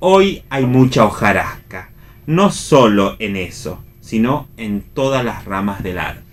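Argentine man, halting reflective radio talk. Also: fairly low pitch, animated. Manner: reflective, halting, searching for words with repetitions. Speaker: radio theatre show host. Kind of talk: unscripted cultural radio discussion.

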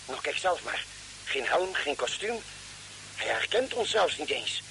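Old radio play: anxious, careful ship's doctor, forward bright tone, slight crackle. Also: male voice, a little high, sing-song, measured pace, gravelly, full character voice. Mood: dismayed. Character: cautious, concerned ship's doctor on Mars expedition. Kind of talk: vintage radio drama acting.

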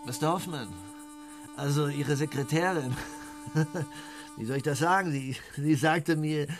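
A man's voice: fairly high pitch, measured pace, music in the background, natural voice. An actor playing a vain, hot-tempered aging male country radio star in a radio play, bossy and theatrical. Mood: stunned. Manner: bossy, theatrical, bursts into outraged shouting. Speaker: Western Swing radio show host.

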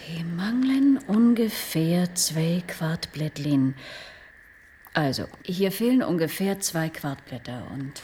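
A woman's voice: fairly low pitch, expressive, takes it slowly, mellow, a little hiss or hum. An actress playing an adventurous, alert female assistant in a radio play. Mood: wary, curious. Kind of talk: German radio play acting.

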